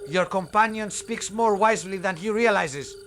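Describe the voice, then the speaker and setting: Elf commander, male actor, notably high-pitched, formal, icy and disdainful, fantasy drama, faint insects and frogs behind. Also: normal speed, fairly bright tone, slight background noise. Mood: dry disdain. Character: haughty, cold elf patrol leader. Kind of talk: fantasy audio drama acting.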